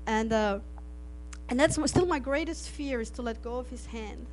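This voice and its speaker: A woman's voice: fairly high pitch, moderate pace, a little hiss or hum, poor audio. A middle-aged woman from Austria, a native German speaker, giving an earnest missionary testimony with frequent laughs and restarted phrases. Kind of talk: testimony to an audience.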